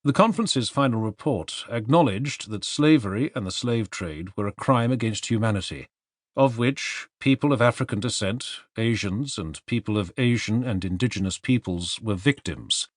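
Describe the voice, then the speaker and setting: Deep-voiced male audiobook narrator, expressive intonation. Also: normal speed, no echo, clean studio sound. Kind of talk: scripted narration of non-fiction.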